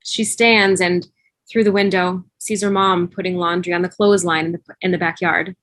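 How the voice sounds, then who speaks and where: A woman's voice: on the low side, flat delivery, normal speed, fairly bright tone, no echo. A woman reading screenplay stage directions as scripted narration for a table read.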